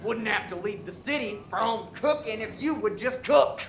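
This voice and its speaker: A man's voice: high-pitched, animated, moderate pace, fairly bright tone, slight background noise. A man playing a defensive, bickering old husband in a comic radio play. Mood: grumbling.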